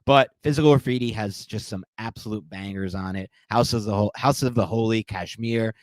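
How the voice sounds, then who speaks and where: A man's voice: on the low side, fairly quick, no room sound. A man co-hosting a podcast, chatting casually and enthusiastically, laughing often. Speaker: podcast co-host.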